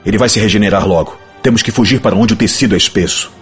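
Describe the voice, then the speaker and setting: A man's voice: fairly low pitch, very fast, faint music behind, pitched above the performer's own voice, lightly acted. A man voicing an exiled angel rescuer, urgent and commanding, in a fantasy audiobook.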